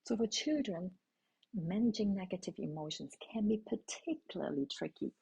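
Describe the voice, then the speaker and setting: A middle-aged woman from Hong Kong, a native Cantonese speaker, telling a personal parenting story in a calm, intimate way and asking her listeners questions. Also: mid-range voice, moderate pace, gravelly, slightly rough, poor audio. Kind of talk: reflective spoken essay.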